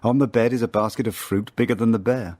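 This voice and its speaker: An actor playing a wry, haunted English spy who narrates his boyhood in a radio drama, ironic, deliberate and literary. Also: medium pitch, fairly even intonation, darkish tone, no echo, lightly acted.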